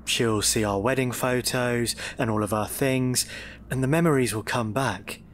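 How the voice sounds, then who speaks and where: Give the role of a devoted, anxious husband in a radio play to a male actor. His voice sits at an average male pitch, and he speaks softly coaxing, pleading and hesitant, always trying to soothe. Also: measured pace, a little hiss or hum, close to an everyday voice. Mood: anxious, hopeful. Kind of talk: radio drama acting.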